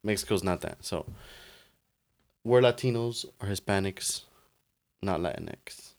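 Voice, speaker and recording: A young man co-hosting a casual podcast, bantering in a relaxed, laughing, opinionated way. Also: low voice, a little slow, gravelly. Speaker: podcast co-host. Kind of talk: casual chat.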